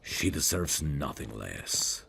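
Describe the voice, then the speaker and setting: Male voice actor as brooding evil prince, extremely low gravelly voice, tender lovesick manner, formal, intimate fantasy ASMR. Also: very animated, noisy background, heavily acted. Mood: anxious longing. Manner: slow, hushed, formal and halting.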